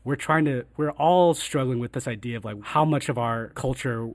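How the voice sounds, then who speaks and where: A man, a writer and director, talking reflectively in a casual panel conversation, halting with many fillers and restarts. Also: medium pitch, no room sound.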